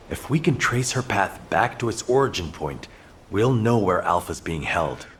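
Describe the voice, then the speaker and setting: A man playing an earnest, remorseful commander in a sci-fi video game cutscene, solemn and measured. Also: lowish voice, fairly bright tone, a little hiss or hum, lightly acted.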